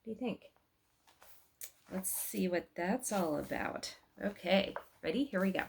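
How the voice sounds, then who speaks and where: A woman's voice: mid-range voice, unhurried, gravelly. A woman hosting a read-aloud, telling a children's story expressively and speaking straight to young listeners. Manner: addresses young listeners directly with questions.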